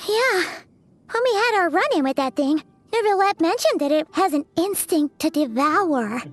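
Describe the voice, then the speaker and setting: A woman voicing a tiny, childlike fairy companion in a video game, squeaky, earnest and excitable. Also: normal speed, faint music behind. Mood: thoughtful. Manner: exclamatory, earnest. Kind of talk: animated video game dialogue.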